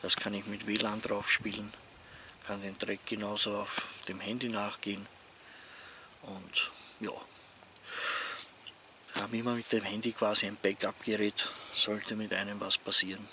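A middle-aged man with an Austrian accent talking casually and haltingly to camera as an outdoor vlogger. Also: lowish voice, flat delivery. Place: outdoors among leafy trees. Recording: outdoor ambient noise.

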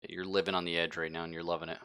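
A man's voice: low-pitched, little pitch movement, sharp tone, smooth, no echo, clean studio sound. A man narrating a casual tabletop game as game master, jokey and slangy. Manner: jokey, slangy, quick to laugh.

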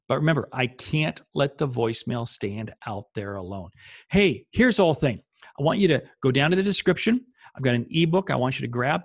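A man's voice: medium pitch, vocal fry, no room sound. A man in his fifties giving an instructional talk as a sales coach, emphatic, in short punchy statements, close to a desk microphone. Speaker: sales coach and video host. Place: small home office, indoors.

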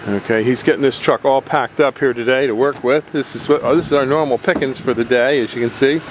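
A man's voice: medium pitch, a little fast, a little hiss or hum. A man who sells antiques from hauling jobs, talking casually and reading old items aloud while filming with a handheld camera. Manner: rambling, plain-spoken, reads items aloud. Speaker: hauler selling collected antiques. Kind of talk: casual handheld video tour.

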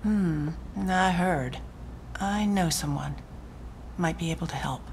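A sultry video game femme fatale, low-pitched and breathy. She purrs her lines teasingly, over a faint rumble. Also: takes it slowly, darkish tone. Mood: coy. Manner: purring, teasing. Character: sultry, seductive female crime fixer. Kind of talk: cinematic video game acting.

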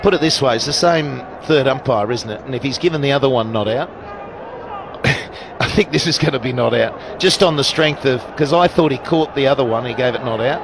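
A man giving live cricket commentary, bursting into shouts at big moments and explaining the rules between them. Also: medium pitch, moderate pace, vocal fry, background noise. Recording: broadcast commentary over loud crowd.

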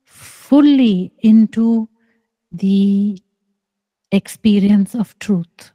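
Slow, soft-spoken woman teacher. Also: mid-range voice, no room sound, low-quality recording. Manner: slow, soft and deliberate, with long pauses. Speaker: spiritual teacher. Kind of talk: slow contemplative spiritual teaching. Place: small room indoors. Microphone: close microphone on a video call.

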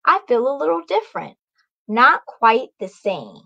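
A woman's voice: fairly high pitch, big pitch swings, measured pace, vocal fry, sharp tone, highs cut off, heavily acted. A woman voicing an ordinary, imaginative little girl in a storybook, given to musing and exclaiming. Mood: puzzled.

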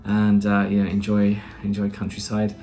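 A man's voice: fairly low pitch, completely flat delivery, moderate pace, warm tone, a little hiss or hum. A British man in his thirties vlogging casually from a small room, rambling with lots of fillers and restarts. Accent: British English. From Britain. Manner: chatty, rambling, many "uh"s and restarts. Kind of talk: casual vlog chat and voice-over narration. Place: small plain room indoors.

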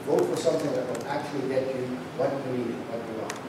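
A man's voice: medium pitch, quick pace. A middle-aged man, a political candidate, giving an earnest closing statement at a debate into a handheld mic in a large banquet hall. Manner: earnest, urging, repeats phrases. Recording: echoey hall from far off, background noise.

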